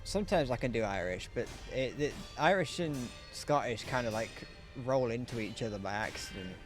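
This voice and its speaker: A man's voice: mid-range voice, animated, normal speed, faint music behind. A young man streaming a game, chatting casually in a rambling, self-deprecating way that keeps trailing off mid-sentence. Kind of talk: casual chat while gaming.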